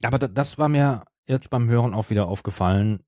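Man, podcast host, medium-pitched, slightly rough, ironic, conversational, dry recording. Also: fairly quick, darkish tone, no room sound. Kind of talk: casual chat.

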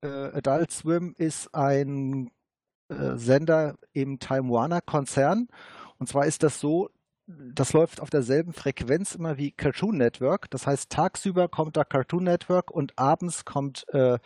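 A man's voice: a little high, a little slow, darkish tone, no room sound, clean studio sound. A man co-hosting a podcast, explaining facts in a casual, informative way. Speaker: podcast co-host.

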